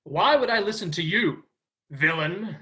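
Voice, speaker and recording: A man's voice: high-pitched, expressive, a little slow, bright, forceful tone, exaggerated voice. A man playing a pompous vain prince. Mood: defiant. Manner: pompous and theatrical. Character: vain, self-loving male superhero prince. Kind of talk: stage play acting.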